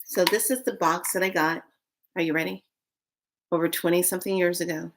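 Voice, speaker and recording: A woman's voice: on the low side, steady tone, fairly quick, noisy background. A woman in her late fifties chatting casually to viewers on a live stream, rambling through a story. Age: 50s. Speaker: vlogger.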